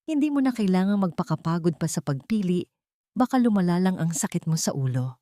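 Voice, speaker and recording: A woman's voice: fairly low pitch, expressive, a little fast, dry recording, close to an everyday voice. A woman voicing a domineering, greedy older mother-in-law, brazen and falsely caring, in an audio story. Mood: bossy, falsely caring. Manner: sharp, brazen, commanding. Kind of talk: audio storybook character dialogue.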